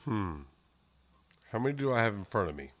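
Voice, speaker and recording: Low blunt man at a game table. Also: sing-song, fairly quick, a bit bright, a little hiss or hum. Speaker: player. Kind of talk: casual tabletop game play.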